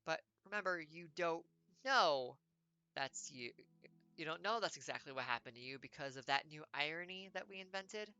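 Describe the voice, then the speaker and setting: A woman's voice: low-pitched, lively intonation, sharp tone, clear, resonant tone, highs cut off. Wry woman reading a text game. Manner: playful, wry, quick asides. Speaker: game streamer. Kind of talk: reading a text adventure aloud with jokey asides.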